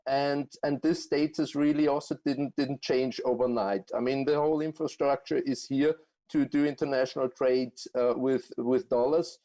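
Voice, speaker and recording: A man from Austria with an Austrian accent, answering interview questions as a guest economist in a halting way, full of fillers and restarts. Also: mid-range voice, steady tone, dark tone. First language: German.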